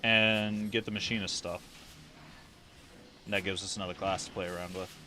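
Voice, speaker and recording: A man's voice: low voice, little pitch movement, moderate pace, sharp tone, soft background music. A man casually commentating a livestream of an online fantasy game, rambling and thinking aloud with casual swearing.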